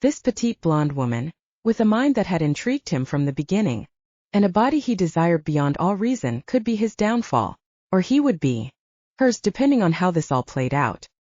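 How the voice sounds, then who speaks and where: Mellow, expressive woman narrating fiction. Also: on the low side, moderate pace, no room sound, highs cut off. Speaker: audiobook narrator.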